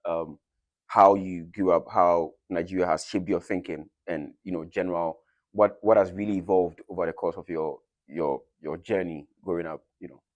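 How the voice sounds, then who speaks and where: A young man with a very low, deep voice hosts a casual interview from a dry, close-miked room. He talks hesitantly, filling gaps with ums and restarting phrases as he leads the conversation. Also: fairly even intonation, normal speed, darkish tone, no echo, dull audio. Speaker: host.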